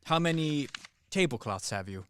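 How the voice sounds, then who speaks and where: A man's voice: fairly high pitch, expressive, normal speed, a bit bright, a little hiss or hum. A man playing a practical Swiss army captain in his thirties in a radio comedy, matter-of-fact and emphatic. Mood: matter-of-fact boasting. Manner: matter-of-fact, emphatic, reeling off lists and figures. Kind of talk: stage-comedy radio play acting.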